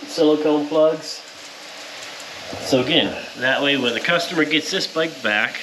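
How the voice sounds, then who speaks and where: A man's voice: medium pitch, pushed and bright, low-quality recording. A plain-spoken man giving a casual, explanatory hands-on workshop narration to viewers. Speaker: powder coater presenting his shop's work. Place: powder coating workshop. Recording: clear background shop noise.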